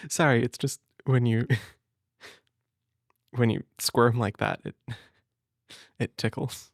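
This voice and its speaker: A man speaking gently and hesitantly in an intimate comfort roleplay, as a big brother reading a storybook. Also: medium pitch, drawn-out words, creaky, long pauses, no echo, studio quality. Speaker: audio roleplay performer as a caring big brother.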